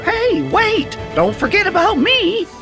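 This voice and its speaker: A man's voice: very high-pitched, sing-song, takes it slowly, vocal fry, over music, heavily acted. A man voicing a tiny, chipper, old-fashioned cartoon cricket in a video game, with a folksy manner. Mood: chipper, eager. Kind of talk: animated video game voice acting.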